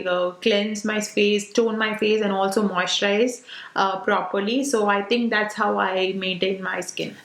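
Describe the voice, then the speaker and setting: Indian woman late 20s, tamil speaker, casual beauty vlog q&a, lots of uh and like, echoey room. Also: mid-range voice. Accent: Indian English. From South India. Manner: chatty, many fillers like "uh" and "like". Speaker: beauty vlogger. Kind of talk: casual Q&A to camera. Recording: roomy echo.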